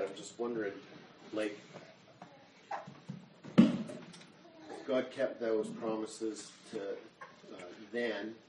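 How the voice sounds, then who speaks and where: A man in a Bible study group asking a question in a halting, apologetic way. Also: on the low side. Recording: echoey room, mic far off, loud noise.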